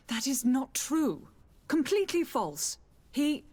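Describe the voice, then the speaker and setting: A proud but anxious noble mother in a fantasy game. Her voice is fairly high, with formal, courteous and defensive phrasing. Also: big pitch swings, slow, darkish tone, heavily acted. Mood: curt, defensive. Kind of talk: video game dialogue acting.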